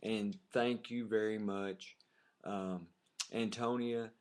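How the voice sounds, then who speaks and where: A man with a fairly low voice talks casually into a home webcam. He stops and restarts often, filling gaps with "uh" and "um". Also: steady tone, a little mellow. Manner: halting, many fillers and restarts. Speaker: vlogger, half of a couple.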